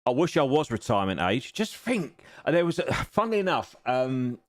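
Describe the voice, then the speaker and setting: A man's voice: medium pitch, very animated, moderate pace. A man in his fifties chatting casually on a live stream while seated at a home racing simulator, self-deprecating and chuckling often. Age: 50s.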